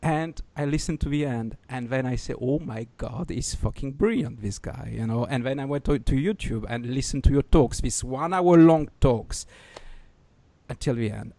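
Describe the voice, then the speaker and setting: A man's voice: medium pitch, normal speed, gravelly, no echo. French-accented man hosting, dry sound. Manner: rambling, excitable, swears. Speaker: podcast host. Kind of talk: casual podcast chat.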